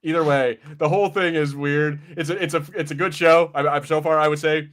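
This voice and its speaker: Very fast-talking man on a casual podcast. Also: on the high side, steady tone, a bit bright. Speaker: podcast host. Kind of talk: casual pop-culture podcast chat.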